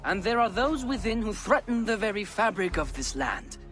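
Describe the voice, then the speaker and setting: A man's voice: high-pitched, big pitch swings, moderate pace, fairly bright tone, soft background music. A man playing an earnest courtier in a dramatic video game, speaking persuasively as if before a king. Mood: earnest, urgent.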